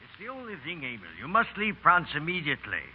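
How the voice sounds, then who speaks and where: Earnest pleading statesman, highish lively voice, emphatic, tinny old radio drama. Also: fast. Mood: urgent, pleading. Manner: emphatic, rhetorical. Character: urgent, earnest male statesman friend, persuasive. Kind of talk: 1930s radio acting. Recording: tinny, hissy 1930s radio recording.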